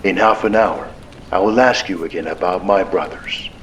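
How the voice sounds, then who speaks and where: A man's voice: low voice, normal speed, slight background noise, close to an everyday voice, poor audio. A man voicing a cold, menacing hijacker in an audiobook, speaking in a curt, threatening way. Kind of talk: audiobook character dialogue.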